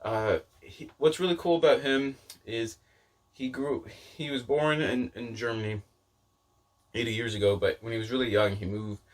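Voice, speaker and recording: A man's voice: medium pitch, steady tone, normal speed. A man chatting casually as a book vlogger, rambling off on tangents and peppering his talk with "like" and "you know.". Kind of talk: casual rambling chat to camera.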